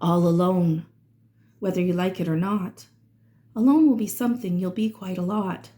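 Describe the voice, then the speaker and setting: A woman reading rhyming verse aloud for children in an expressive, dramatic way, drawing out certain words. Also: lowish voice, moderate pace. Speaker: children's read-aloud reader.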